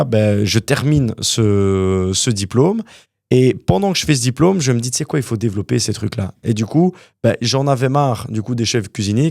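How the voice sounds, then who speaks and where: Gravelly young man telling fast stories. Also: mid-range voice, no room sound, clean studio sound. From Canada. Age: young adult. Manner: animated, fast storytelling with many fillers. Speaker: guest entrepreneur. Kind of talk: casual interview storytelling.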